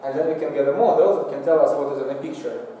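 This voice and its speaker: A man giving a technical lecture with slides in a lecture room, speaking halting, non-native English. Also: medium pitch, mellow. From Singapore. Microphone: far from the mouth, room pickup. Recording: echoey hall, distant mic, faint noise.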